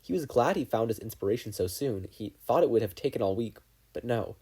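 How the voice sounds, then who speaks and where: A man reading a story aloud as scripted narration, with an expressive storytelling manner. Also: medium pitch, fairly quick, slightly rough. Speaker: fan fiction podfic reader.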